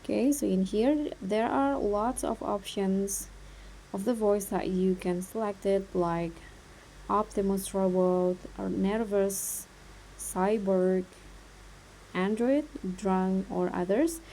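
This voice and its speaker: A woman hosting a step-by-step app tutorial, talking in a halting, instructional way. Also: mid-range voice, warm tone, slight background noise, low-quality recording. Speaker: tutorial host.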